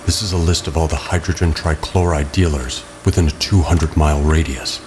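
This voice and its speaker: An actor playing a stern, brooding, protective masked vigilante in an audio drama, speaking in a terse, controlled, grave way with music behind him. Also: male voice, a bass voice, fairly even intonation, fairly quick, darkish tone, a little hiss or hum. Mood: calm, terse.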